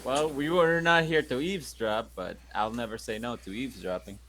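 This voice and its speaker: A man's voice: mid-range voice, moderate pace, fairly bright tone. A man playing a tabletop role-playing game, joking casually with his group. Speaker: player.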